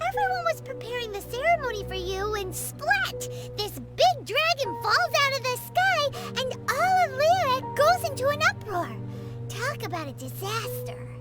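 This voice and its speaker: A woman voicing a tiny, childlike, excitable fairy companion in a video game cutscene, squeaky, blunt and naive. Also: a little slow, full character voice. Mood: exasperated. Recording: game music behind the voice. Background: game soundtrack music.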